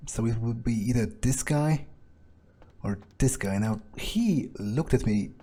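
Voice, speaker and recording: A man's voice: medium pitch, measured pace, dark tone. A man doing in-character commentary as he plays through a game, musing and thinking aloud with some hesitation. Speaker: gameplay host role-playing his character.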